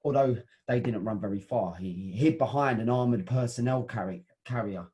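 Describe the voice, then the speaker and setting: A man's voice: medium pitch, normal speed, dark tone, lightly acted. An actor playing a rowdy football hooligan who swears and stammers as he tells the story. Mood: boastful. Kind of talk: satirical audio drama.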